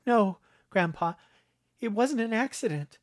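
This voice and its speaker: A man narrating a story, voicing an earnest, worried young boy in a high, urgent voice. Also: lively intonation, unhurried, dry recording, clean studio sound. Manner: urgent, pleading boyish voice.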